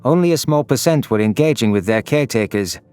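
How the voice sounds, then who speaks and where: A man's voice: mid-range voice, lively intonation, fairly quick, darkish tone, smooth. A man reading scripted, dramatic narration for a science fiction audio story. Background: background music.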